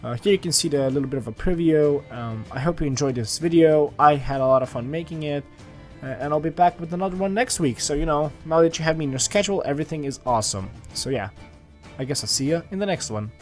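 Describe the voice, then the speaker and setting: A man's voice: on the high side, quick pace, soft background music. Rambling man narrating his sketch. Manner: rambling, many fillers and restarts. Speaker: art channel host. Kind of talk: casual voice-over commentary on a sketch.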